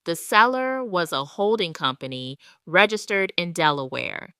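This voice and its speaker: Woman doing steely calm accountant mom in audio drama, pointed, slow n super clear. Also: on the low side, no echo, studio quality, full character voice. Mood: coolly confrontational. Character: composed, steely retired accountant mother confronting her in-laws.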